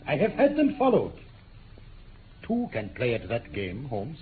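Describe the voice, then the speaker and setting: An actor playing a haughty, hot-tempered aristocratic villain, indignant and theatrical, in 1950s radio drama style. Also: male voice, medium pitch, huge pitch swings, unhurried. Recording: tinny, noisy 1950s radio recording.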